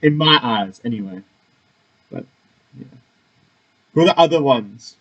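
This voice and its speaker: A man's voice: mid-range voice, animated, a little slow, fairly bright tone, slightly rough, dull audio. A young man giving casual let's-play commentary, rambling and often trailing off. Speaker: gaming YouTuber. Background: game music.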